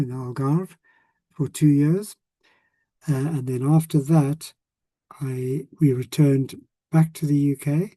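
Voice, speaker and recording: Hesitant older South African man, very dark voice. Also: medium pitch, no echo, rough recording. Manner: hesitant, many ums and restarts. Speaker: retired English language teacher and lecturer. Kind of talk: casual talk to former students.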